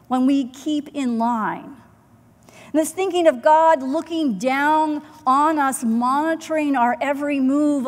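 Slow, earnest woman pastor preaching. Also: high-pitched. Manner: measured, earnest preaching with deliberate pauses. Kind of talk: sermon. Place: church sanctuary, in front of the chancel. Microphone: pulpit microphone, far camera. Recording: long church hall reverb.

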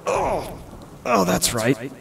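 A man playing a wounded, dazed mage in audio fiction, halting and groggy, with a strained, creaky voice. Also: sits high, huge pitch swings, crowd noise, full character voice. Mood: pained, dazed. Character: wounded, dazed male mage lost in the rain. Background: rain and thunder.

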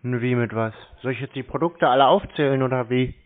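A man talking curtly on a phone call, deadpan and mocking. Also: mid-range voice, quick pace. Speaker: scam-baiter taking phone calls. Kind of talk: unscripted phone conversation. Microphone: telephone call recording. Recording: tinny narrow-band phone line.

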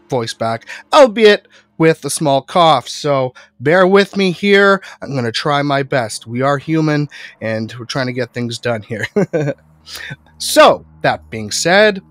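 A man's voice: medium pitch, a bit bright, no room sound. Congested young man with a headset. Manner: halting, with filler words. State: congested, recovering from illness. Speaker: podcast co-host. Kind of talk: podcast interview. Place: home studio. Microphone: headset microphone.

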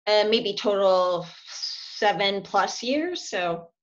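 A woman's voice: medium pitch, expressive, slow pace. A woman in her forties, a language student, chatting over a webcam video call from a small room at home, hesitant and laughing often. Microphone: laptop webcam on video call. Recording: dull lo-fi video call audio.

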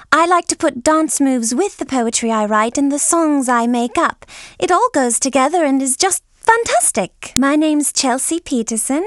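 A woman's voice: high-pitched, no room sound, clean studio sound. A woman playing a dreamy, gushing teenage girl with an English accent in a video game. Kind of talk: scripted game character lines.

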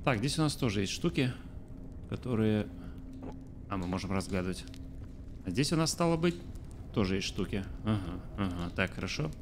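A man in his thirties with a lowish voice, talking through a game stream as he plays. He thinks aloud in a loose, chatty way and answers his viewers as he goes. Faint game sounds sit quietly behind him. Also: animated, normal speed, pauses often, slight background noise. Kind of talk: casual let's-play commentary. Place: small room indoors. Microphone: studio mic on arm by face.

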